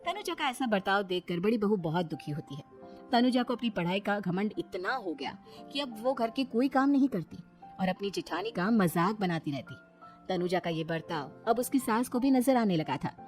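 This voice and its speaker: A woman's voice: medium pitch, lively intonation, moderate pace. Woman narrating a cartoon story over music. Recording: music behind the voice. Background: background music.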